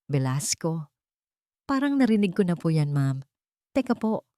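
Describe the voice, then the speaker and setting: Woman voicing a grave, loyal head nurse, lowish voice. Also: sing-song, unhurried, mellow, no echo, studio quality. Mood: pensive. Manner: respectful, grave. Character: loyal, serious, worried older female head nurse. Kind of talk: dramatic storytelling dialogue.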